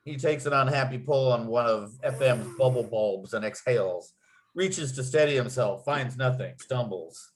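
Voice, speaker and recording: Guy reading stage directions out loud, medium pitch, scripted narrator delivery. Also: normal speed, a little hiss or hum.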